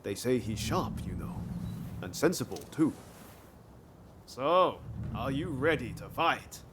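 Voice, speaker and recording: A man's voice: medium pitch, expressive, takes it slowly. A man playing a breezy, encouraging warrior comrade in a video game cutscene, speaking casually. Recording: clear background ambient noise.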